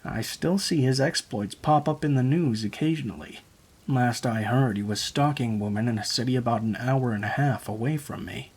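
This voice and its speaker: A man narrating true horror stories in a steady, even storytelling read. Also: mid-range voice, moderate pace, breathy edge.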